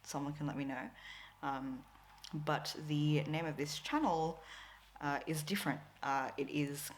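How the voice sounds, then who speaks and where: A woman's voice: sits low, steady tone, unhurried, a little hiss or hum. A woman, a Tagalog speaker, chatting casually to camera as a crafting vlogger, rambling with lots of fillers and frequent laughs. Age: 20s.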